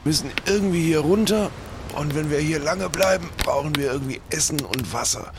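A man's voice: a little high, lively intonation, a little fast, noisy background. A man playing a small, proud, grumbling older pirate in an improvised audio adventure, opinionated and reproachful. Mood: grumbling, insistent.

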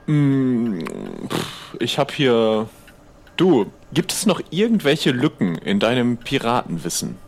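A man's voice: mid-range voice, very animated, slow, darkish tone, exaggerated voice. A man playing an easygoing pirate trader in an improvised audio adventure, talking in a casual, persuasive, conversational way. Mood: bargaining.

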